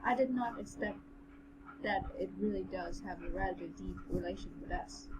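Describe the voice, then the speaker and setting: A woman voicing a calm, courteous young male general in formal, measured speech for an amateur audio drama. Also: medium pitch, lightly acted. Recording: clearly noisy amateur home recording.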